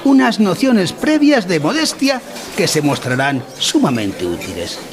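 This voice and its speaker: Radio play: solemn, didactic male lecturer, mock-educational, highly animated intonation, crowd audible behind. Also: a little slow. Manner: formal, didactic, solemn wording. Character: pompous male instructor of a mock-educational course. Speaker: narrator in an audio drama.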